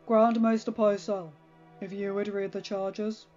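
A woman's voice: medium pitch, level tone, unhurried, a little mellow, natural voice. A woman voicing a blunt, commanding grown king, recorded dull and lo-fi over music.

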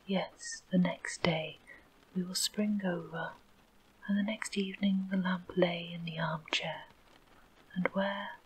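A woman narrates a fairy tale in a steady, unhurried whisper, her voice sitting on the low side. A faint hiss runs under the recording. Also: low-quality recording. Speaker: storyteller.

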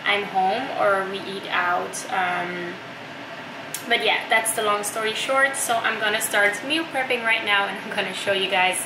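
A woman's voice: mid-range voice, background noise. A woman in her thirties chatting casually to camera as a vlogger, with plenty of ums and uhs. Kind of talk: casual vlog talk to camera. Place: home kitchen. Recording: echoey room.

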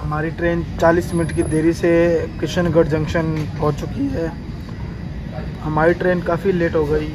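High-voiced young man vlogging from a train. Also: flat delivery, normal speed. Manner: chatty, narrates train journey updates. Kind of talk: casual travel vlog narration. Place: on a train and station platforms. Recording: crowded train station noise.

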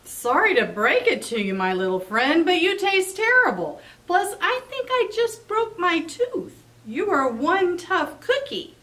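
A woman's voice: very high-pitched, big pitch swings, measured pace, hardly any pauses, a bit bright, pitched above the performer's own voice, full character voice. A woman reading a picture book aloud and voicing a sly, easygoing male fox in a teasing, coaxing way. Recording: voice a bit off-mic.

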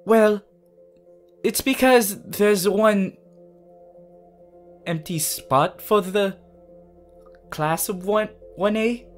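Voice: mid-range voice, drawn-out words, pauses often, faint music behind. A performer voicing a small, cheerful male animal school principal, politely and formally making an announcement in an anime fan roleplay.

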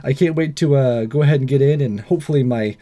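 A man's voice: medium pitch, fast talker, warm tone. A man hosting a gaming video, reading a visual novel aloud and chatting casually to viewers. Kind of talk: narrated let's play, scripted reading and casual sign-off.

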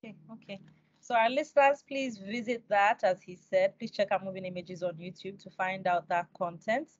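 A woman's voice: mid-range voice, moderate pace. A young woman with a Nigerian accent hosting an interview conversationally over a video call. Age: young adult. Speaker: interview host. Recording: dull video call audio.

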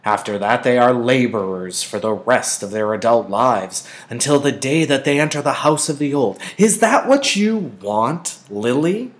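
A man's voice: mid-range voice, animated, a little slow, hardly any pauses, voice pushed up, natural voice. A man voicing a stern mother in an audiobook, firm and emphatic as she instructs her child.

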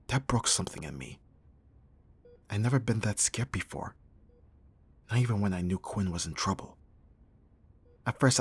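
Casting: male actor as abrasive yet tender bodyguard for audio roleplay, lowish and very husky, guarded and halting. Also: heavily acted. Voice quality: husky, breathy. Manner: halting, sighing, emotionally guarded. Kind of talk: ASMR roleplay acting.

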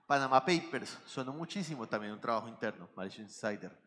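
A man's voice: medium pitch, expressive, fast talker, fairly bright tone. Colombian man speaking in a big hall. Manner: explanatory, addresses audience with questions. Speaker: conference speaker. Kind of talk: tech conference talk. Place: large conference stage. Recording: reverberant hall, faint ambience.

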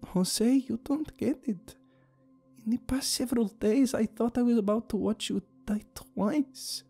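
A man's voice: extremely high pitch, a little slow, dark tone, slightly rough, faint music behind, pitched above the performer's own voice, exaggerated voice. A man voicing an upset young woman who scolds and pleads out of fierce care, in an audio story. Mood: tearful, upset. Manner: pleading, emphatic.

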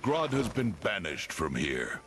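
A calm, commanding gorilla ruler, with a very deep voice. He speaks deliberately with lively emphasis. A video game cutscene. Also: soft background music, exaggerated voice.

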